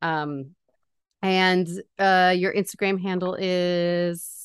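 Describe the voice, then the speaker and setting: Bubbly low-voiced woman podcast host. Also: steady tone, slow pace, clear, resonant tone, no echo, clean studio sound. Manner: bubbly, laughs often, many fillers. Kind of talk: casual podcast interview.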